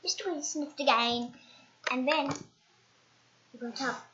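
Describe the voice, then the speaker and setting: A child reading a picture book aloud in a halting way, stumbling and correcting words. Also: sits high, bright, forceful tone. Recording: roomy echo, dull lo-fi sound.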